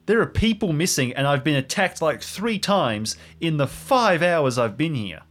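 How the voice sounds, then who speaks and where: Man playing a rattled, insistent young park ranger, animated. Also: fairly high pitch, moderate pace, natural voice. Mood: agitated, exasperated. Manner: agitated, questioning, repeats himself. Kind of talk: improvised audio drama roleplay.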